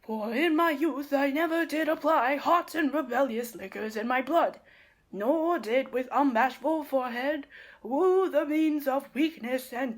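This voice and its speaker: Woman playing an anxious old servant man, high-voiced. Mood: earnest, devoted. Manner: earnest, emotive, slow declamation. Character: devoted old male servant, anxious and loyal. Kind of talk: Shakespearean radio play acting.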